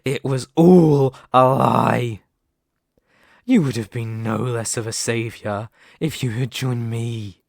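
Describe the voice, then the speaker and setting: A man playing a dominant, vain and possessive demon lord in an ASMR roleplay, speaking formally and theatrically with deliberate dramatic pauses. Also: medium pitch, a little mellow, dry recording, clean studio sound. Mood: indignant, impassioned. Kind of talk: ASMR roleplay character monologue.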